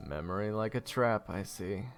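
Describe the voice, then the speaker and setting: A man's voice: lowish voice, moderate pace, faint music behind, lightly acted. A man playing a flippant, evasive young adventurer in an audio roleplay, wry and casual with awkward hesitations. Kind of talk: audio roleplay acting with first-person narration.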